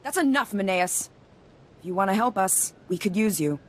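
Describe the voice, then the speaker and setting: An actress playing a brash, combative young trainee in a video game. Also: female voice, mid-range voice, lively intonation, moderate pace, close to an everyday voice. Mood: defiant. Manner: brash, insistent.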